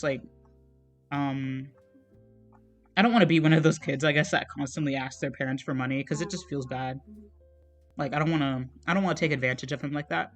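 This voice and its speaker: A young man streaming as he draws, chatting casually in a meandering, soft, self-deprecating way, with plenty of fillers and trailing thoughts. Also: a little high. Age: young adult. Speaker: art streamer. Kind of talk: casual chat while drawing on a livestream.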